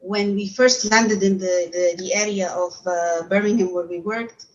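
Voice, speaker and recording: A woman's voice: medium pitch, steady tone, measured pace. A woman in her thirties from Egypt, a native Arabic speaker, giving a talk as a university researcher from her home over a video call, with frequent fillers and restarts. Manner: hesitant, many filler sounds and restarts. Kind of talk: remote talk to an audience. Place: home room, seated in armchair. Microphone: webcam on video call. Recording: slightly dull lo-fi sound.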